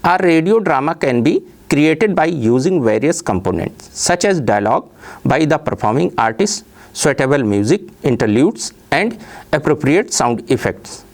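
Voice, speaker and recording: Formal lecturer with lively, swooping pitch. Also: normal speed. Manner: formal, enumerating, textbook-like delivery. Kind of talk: scripted educational lecture.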